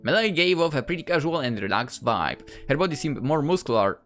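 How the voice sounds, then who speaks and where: A woman's voice: sits low, big pitch swings, a little fast, soft background music. A woman hosting a gaming video, reading story narration and adding amused, rambling casual commentary.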